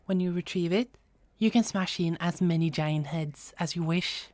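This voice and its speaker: A woman acting in a fantasy audio roleplay as an expressive goddess, sensible and playfully bossy. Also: lowish voice, normal speed, no room sound, close to an everyday voice. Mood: worried, reasoning.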